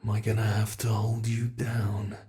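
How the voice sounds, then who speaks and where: A British man voicing a sadistic male monster from under the bed, speaking slowly and menacingly in an ASMR horror roleplay, often dropping to whispers. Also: lowish voice, completely flat delivery, dark tone, lightly acted. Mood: taunting. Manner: slow, taunting, menacing, drifting into whispers.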